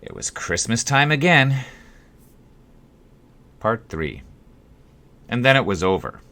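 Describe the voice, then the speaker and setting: A man reading his own story as an audiobook, in a wry, dryly humorous way with dramatic pauses. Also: mid-range voice, sing-song, bright, forceful tone. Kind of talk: scripted audiobook narration of a memoir-like story.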